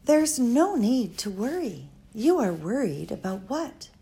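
A woman's voice: on the high side, very animated, slow pace, vocal fry, warm tone, full character voice. A woman reading an audiobook, voicing an eccentric elderly female philosopher who speaks in stilted, formal phrases. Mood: musing.

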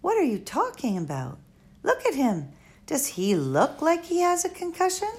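Storybook girl, blunt and impatient, voiced by a female narrator, raised pitch, lively. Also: normal speed, heavily acted. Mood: exasperated. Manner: exasperated, insistent.

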